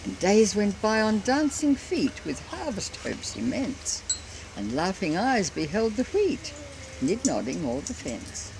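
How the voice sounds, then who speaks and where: A woman's voice: medium pitch, huge pitch swings, unhurried, gravelly, background noise. An older Australian woman giving a theatrical, lilting recitation of a bush ballad to her family in an amateur recording. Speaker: family matriarch reciting a poem. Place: family Christmas gathering.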